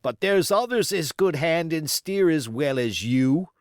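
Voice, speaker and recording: A male reader acts out a rough pirate coxswain from a sea-adventure storybook. His voice is raised in pitch and very lively, with emphatic, grumbling sailor talk and a bloodthirsty edge. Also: measured pace, no echo, studio quality.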